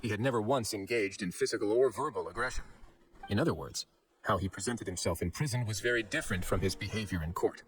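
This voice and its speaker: A man voicing a shrewd, composed prison fortress administrator in an anime game, courteous, smooth-talking and deliberate. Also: fairly low pitch, lightly acted, poor audio. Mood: explaining, composed. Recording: faint background music.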